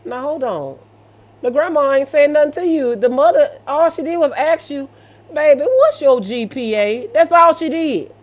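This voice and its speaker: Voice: high voice, lively intonation, normal speed. An American speaker with a sassy, blunt, colloquial manner, reading stories aloud and reacting with off-the-cuff commentary.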